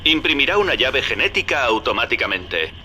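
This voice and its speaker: A man's voice: medium pitch, sing-song, a little fast, very noisy, close to an everyday voice. An actor with a Castilian Spanish accent playing a folksy, wry schemer who guides a younger man in a video game dub. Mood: brisk, scheming. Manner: familiar, wry, directing.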